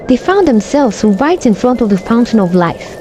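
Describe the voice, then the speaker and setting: Mellow-voiced woman telling a folktale. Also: on the high side, big pitch swings, slight background noise. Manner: dramatic, deliberate storytelling. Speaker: storyteller. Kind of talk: scripted folktale narration.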